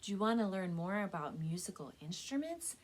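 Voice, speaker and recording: A woman speaking as a children's librarian and storyteller, with an expressive, clearly enunciated read-aloud delivery. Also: medium pitch, fairly quick. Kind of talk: children's storytelling and book recommendations.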